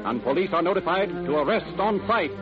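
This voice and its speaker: A man's voice: sits high, animated, fairly quick, over loud music. A man narrating a crime story in a dramatic, scripted 1940s radio style. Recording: muffled old radio recording.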